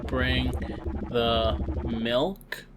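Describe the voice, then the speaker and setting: A man's voice: mid-range voice, background noise. Man doing an eerie, drawling, controlling mother. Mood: cold, ominous. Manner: slow, drawn-out, flat commands. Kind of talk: reading visual novel dialogue aloud.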